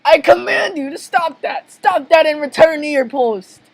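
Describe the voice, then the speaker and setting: A woman's voice: high-pitched, lively intonation, normal speed, vocal fry, no echo, voice pushed up. A woman voicing a small, bossy, exasperated male plankton who runs a restaurant in a cartoon story, commanding and easily flustered.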